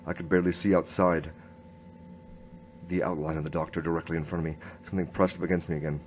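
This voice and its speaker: Man playing noir radio detective, very low voice, halting, hard-boiled narration, noisy recording. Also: level tone, lightly acted. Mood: brooding, tense. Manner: hard-boiled first-person storytelling, halting. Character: male noir police inspector, earnest, halting, narrating his case.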